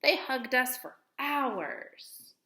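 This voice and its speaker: High-pitched, lively woman reading a storybook. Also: extremely slow, echoey room. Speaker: teacher reading aloud to pupils. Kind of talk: storybook read-aloud for children.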